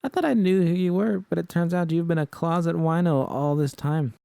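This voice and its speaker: Man voicing teasing, laid-back V, pitched up. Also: a little fast, vocal fry, warm tone, smooth, no room sound, clean studio sound. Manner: teasing, casual.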